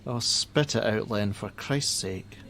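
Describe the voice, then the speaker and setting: A man acting a gruff, jaded helpline worker. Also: on the low side, slow, a bit bright, a little hiss or hum. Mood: bitter. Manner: blunt, sardonic, defensive. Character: jaded, gruff, hot-tempered male crisis-line worker and father. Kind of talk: naturalistic radio play acting.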